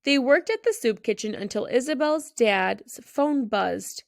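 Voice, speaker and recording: Female children's storybook narrator: expressive read-aloud delivery, noticeable vocal fry, close dry sound. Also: on the high side, a little slow, no echo.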